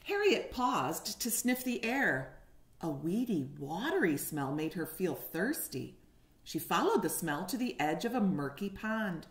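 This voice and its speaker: A woman's voice: on the low side, very animated, unhurried. A woman around her forties, a kindergarten teacher reading a picture book aloud expressively and putting questions to young listeners, recorded at home on a webcam. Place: living room at home, indoors. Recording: roomy echo on a home webcam.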